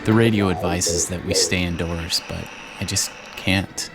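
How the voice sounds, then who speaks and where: A man playing a devoted, weary father in a besieged city, speaking a journal to a daughter in a grave, tender, measured way. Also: sits low, steady tone. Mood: grave, worried. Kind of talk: dramatized journal narration addressed to his daughter. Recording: music under the voice.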